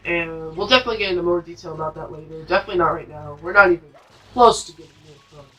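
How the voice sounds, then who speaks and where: A man's voice: on the high side, very fast, bright, forceful tone, highs cut off. A man hosting a let's play, giving casual, rambling, informal commentary as he plays a video game. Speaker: gaming video host. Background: game music.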